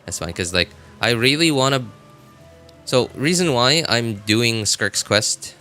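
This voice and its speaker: A man chatting casually as a live game streamer, rambling and halting as he often repeats himself. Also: medium pitch, sing-song, pushed and bright, soft background music.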